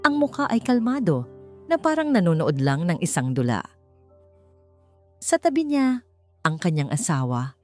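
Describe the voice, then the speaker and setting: A woman with a Filipino accent narrating a dramatic story as an audiobook, in a measured, suspenseful way. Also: fairly low pitch, big pitch swings. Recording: voice over background music.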